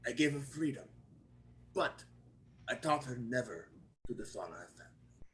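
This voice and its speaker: An actor playing an aggrieved Italian immigrant father in a screenplay table read, halting and emotional as he pleads. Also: medium pitch, lively intonation, a bit bright, slight background noise, lightly acted, low-quality recording. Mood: subdued grief.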